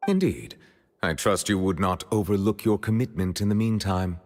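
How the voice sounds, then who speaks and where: An actor playing a composed, formal male chief justice who speaks deliberately and with courtesy. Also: sits low, a little mellow, big-room sound, a little hiss or hum, lightly acted. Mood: formal, guarded. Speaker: game character. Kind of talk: studio game voice acting.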